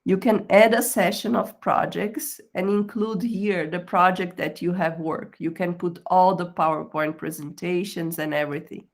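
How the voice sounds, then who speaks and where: Woman career coach, slightly lower voice, patient step-by-step webinar delivery, often checks in with "okay?". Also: steady tone, normal speed, few pauses. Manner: explanatory, checks in with "okay?", non-native phrasing. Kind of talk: screen-share tutorial on a professional profile.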